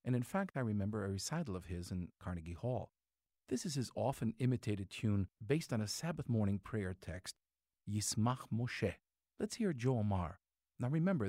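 A man from Greece presents a scripted music program on radio. His voice sits low but moves through a lively range of pitch. The sound is close and dry, as a studio host would have it. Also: moderate pace, no room sound.

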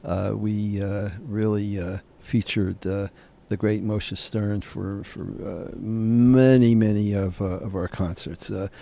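A man in his late seventies hosting a radio interview, courteous and hesitant, full of pauses and fillers. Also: lowish voice, steady tone, gravelly, warm tone. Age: late 70s. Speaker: radio show host.

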